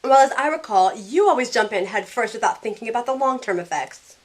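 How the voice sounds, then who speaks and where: A woman's voice: on the high side, animated, fairly quick. A woman playing a snarky young heroine in an audio drama, narrating in the first person and speaking her lines with wry sarcasm. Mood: teasing. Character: snarky young woman thrown back aboard the Titanic. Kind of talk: first-person scripted narration and acted dialogue.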